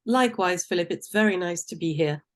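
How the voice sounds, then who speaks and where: A woman, answering interview questions in an articulate, measured way, with the occasional um. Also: fairly low pitch. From Tunisia. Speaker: guest.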